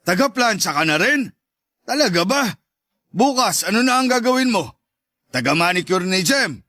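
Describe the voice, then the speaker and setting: A man acts out a jealous macho ex-husband in an audio drama, domineering and mocking. His voice is high, bright and cutting, with a sneering delivery and a dry, close sound. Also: sing-song, normal speed, no room sound, full character voice. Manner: sneering, taunting. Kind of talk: Tagalog audio drama dialogue.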